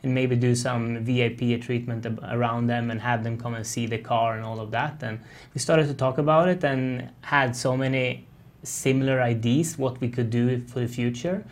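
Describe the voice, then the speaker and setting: Swedish-accented young man, halting speech. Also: mid-range voice, fairly even intonation. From Sweden. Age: young adult. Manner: halting, restarts phrases, friendly. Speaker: race car driver, guest. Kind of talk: casual interview talk.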